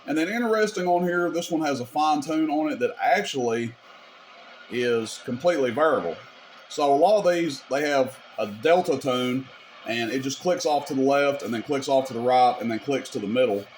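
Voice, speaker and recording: A man with an average-pitched voice walks through a piece of gear like a video host. His delivery is informal and folksy, explaining each step casually as he goes. The camera microphone picks up clear noise and ambience behind him. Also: moderate pace. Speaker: radio repair video host demonstrating gear. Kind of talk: casual hands-on product demonstration.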